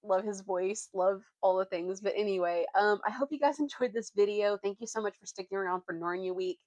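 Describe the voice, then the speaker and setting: A woman's voice: mid-range voice, a little fast, darkish tone, no echo. A young woman with an informal, youthful way of talking, reading a storybook aloud and chatting as a vlogger. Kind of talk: storybook narration and casual chat.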